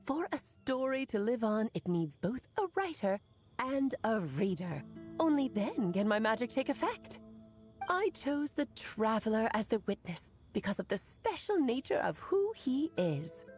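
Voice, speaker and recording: An elegant adult witch from a video game, with a fairly high and very animated voice. She is theatrical, refined and teasing, over faint music. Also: a little slow. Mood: amused, pleased. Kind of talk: video game character acting.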